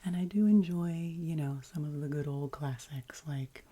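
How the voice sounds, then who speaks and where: A woman with a deep, husky voice chats gently as an ASMR host, soft-spoken and often whispering. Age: adult, past college. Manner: slow, gentle, soothing delivery.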